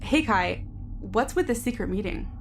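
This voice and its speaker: A woman's voice: medium pitch, lively intonation, normal speed, a little hiss or hum, natural voice. Woman as a cool, cynical female security officer. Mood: inquisitive. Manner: terse, wry, matter-of-fact. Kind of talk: science fiction audio drama acting.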